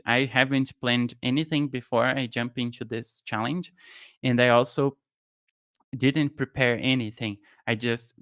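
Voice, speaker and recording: A man's voice: mid-range voice, fairly bright tone, smooth, dry recording, clean studio sound. A young Brazilian man with a Brazilian Portuguese accent, casually talking through a no-code tutorial on a live stream, thinking aloud with plenty of fillers and restarts. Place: home studio room with shelves. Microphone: studio mic on boom arm, headphones.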